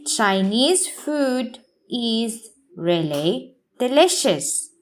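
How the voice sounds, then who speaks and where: Woman, English teacher, reading practice, deliberate word-by-word diction, very slow pace, lively intonation. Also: a little high. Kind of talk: reading practice passage read aloud for learners.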